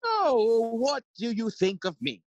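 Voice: medium pitch, very animated, takes it slowly, no room sound, highs cut off. An actor playing a fussy, long-winded old male counsellor in an audio play, theatrical and forever interrupting their own speech. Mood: self-important. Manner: rambling, theatrical, self-interrupting. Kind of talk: Shakespearean stage acting in audio play.

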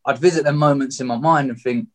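This is a man of about thirty with a southeast English accent. He speaks as an interview guest, telling a personal story candidly. His manner is open and reflective, and his voice sits at a middling pitch. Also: fast talker. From Margate, England.

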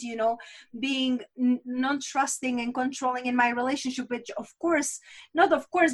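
High-voiced woman. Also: a bit bright. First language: Czech. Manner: earnest, reflective, with rhetorical asides. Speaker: guest. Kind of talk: candid personal conversation.

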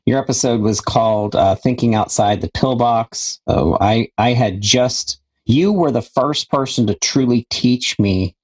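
A man's voice: medium pitch, a little slow, hardly any pauses, a little mellow, husky. A man hosting a podcast network show, welcoming a guest with enthusiasm. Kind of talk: casual interview hosting.

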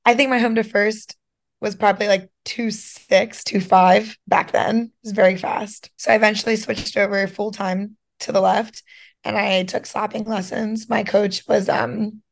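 A young woman in her twenties, a professional softball player, chatting casually as a podcast guest. Her voice is slightly hoarse, and she fills her talk with lots of "like" and "you know". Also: mid-range voice, little pitch movement, normal speed. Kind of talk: casual interview chat.

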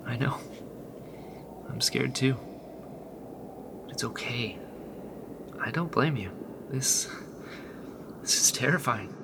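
Earnest, reassuring rebel leader. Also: male voice, medium pitch, noisy background. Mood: somber, determined. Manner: reassuring, earnest, breathy pauses. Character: earnest, protective male rebel leader, steadfast comforter. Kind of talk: naturalistic sci-fi radio drama acting.

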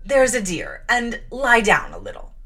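A woman's voice: fairly high pitch, wildly swooping pitch, unhurried, sharp tone, slightly rough, heavily acted. A woman reading aloud and voicing a stern, middle-aged aunt who reproves a child in a condescending, reproving manner.

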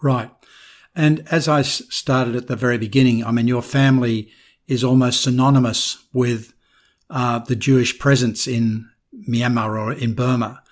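Measured male interviewer with a mid-range voice. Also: mid-range voice, steady tone. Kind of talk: measured interview introduction and questions.

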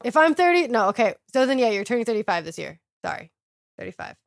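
A woman's voice: on the high side, big pitch swings, fast talker, creaky, dry recording, clean studio sound. A woman in her thirties co-hosting a podcast, talking bluntly with plenty of swearing. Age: 30s. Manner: blunt, profane, matter-of-fact. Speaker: podcast co-host. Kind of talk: casual reality-TV recap chat.

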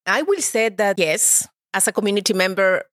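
A woman's voice: mid-range voice, expressive, normal speed, fairly bright tone, no room sound, studio quality. A woman from El Salvador with a Salvadoran Spanish accent, answering conversationally as a podcast guest. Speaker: podcast guest, community organizer.

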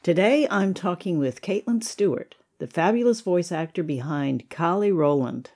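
A woman hosting a podcast interview, speaking in a measured, encouraging way to her guest. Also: fairly low pitch, animated, a little mellow. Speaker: podcast host and interviewer, writer-producer.

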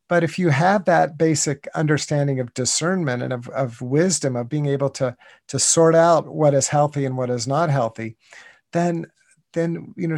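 A man's voice: on the high side, creaky, darkish tone, no room sound, clean studio sound. An American man in his sixties speaking as a guest in an interview, thoughtful and earnest, often restarting with "you know". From United States. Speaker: guest, contemplative writer. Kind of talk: reflective interview talk.

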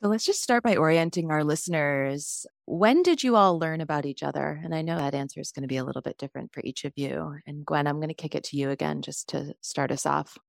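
A woman's voice: sits low, fast, creaky, hardly any pauses, dry recording, clean studio sound. A woman hosting a podcast group interview, guiding guests with simple questions in a friendly way. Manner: friendly, guiding questions, self-aware about first time.

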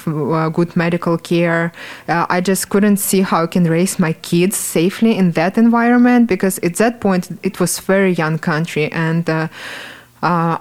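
Earnest woman with a Ukrainian accent. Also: lowish voice. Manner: earnest, halting, many fillers and restarts. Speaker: art teacher, guest. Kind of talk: interview answers. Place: podcast studio.

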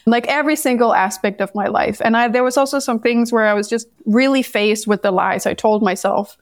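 A woman from Denmark, a podcast guest telling her story in a candid, animated way. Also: on the high side, fairly quick, no room sound, studio quality. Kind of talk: casual interview conversation.

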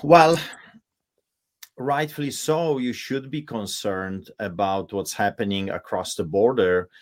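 Guest: middle-aged Polish man, strong Polish accent, lowish voice, emphatic and insistent, heard over a video call. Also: takes it slowly. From Poland. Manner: forceful, emphatic, with frequent uh fillers and restarts. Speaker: pastor, guest. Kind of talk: impassioned interview talk.

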